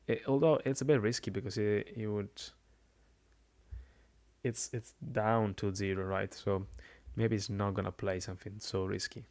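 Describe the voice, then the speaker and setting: Man, quiet voice, very slow, hesitant, fillers and restarts, live-stream commentator. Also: fairly low pitch. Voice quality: quiet, soft-spoken. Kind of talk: casual live-stream chat.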